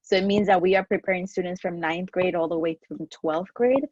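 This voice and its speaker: A woman's voice: lowish voice, steady tone, fairly quick, a little mellow, dry recording. Earnest woman on a dull, dry line. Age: 30s. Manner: earnest, speaks with many fillers. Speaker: alumni panelist. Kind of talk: casual panel talk.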